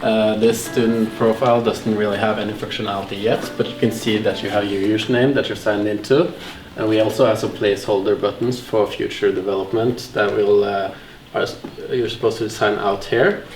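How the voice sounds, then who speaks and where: A man's voice: on the low side, fairly even intonation, fairly quick, echoey room. A man in his twenties presenting his programming work in a straightforward way, with some fillers. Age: 20s. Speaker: programming student. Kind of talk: casual presentation.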